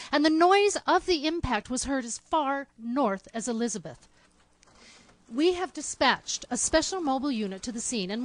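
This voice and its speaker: A woman playing a formal, urgent 1930s radio news announcer with a measured, declarative delivery. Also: a little high, a bit bright, poor audio. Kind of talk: 1930s radio drama newsreading.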